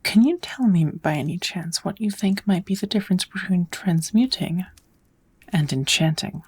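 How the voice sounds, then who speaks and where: A woman playing a kind witch who patiently teaches magic in a soft, often whispered ASMR roleplay voice. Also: fairly low pitch, slightly rough. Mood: patient, encouraging. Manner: patient, encouraging, unhurried teaching. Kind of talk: ASMR roleplay, whispered lesson to one listener.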